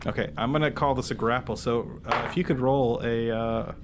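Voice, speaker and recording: A man acts as game master for a tabletop roleplaying game, narrating and calling for rolls in a casual, off-the-cuff way. His mid-pitched voice sits in a recording with clear background noise. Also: steady tone, normal speed.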